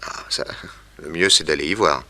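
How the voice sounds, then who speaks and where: A man's voice: rumbling low, normal speed, pushed and bright, no echo. An actor playing a shrewd, confident detective who explains what he observes, in a 1960s French radio serial. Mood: confident, observant.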